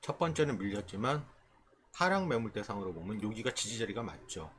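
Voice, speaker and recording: A man's voice: lowish voice, expressive, fairly quick, fairly bright tone, a little hiss or hum. A man giving an informal, explanatory tutorial as an instructor, often checking in with short rhetorical questions. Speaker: stock trading instructor. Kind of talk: informal screen-share tutorial explaining stock charts.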